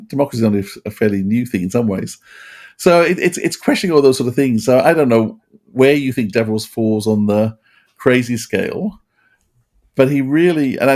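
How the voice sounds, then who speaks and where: Mellow dark-voiced guy, midrange pitch, thinking out loud on a podcast, earnest. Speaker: podcast panelist. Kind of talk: reflective discussion.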